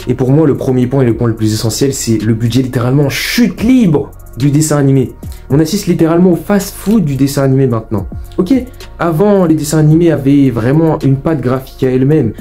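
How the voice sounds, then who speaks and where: A man's voice: medium pitch, animated, a little fast, dark tone, soft background music. A young man hosting a video essay, giving informal, opinionated commentary in an emphatic, repetitive, colloquial way.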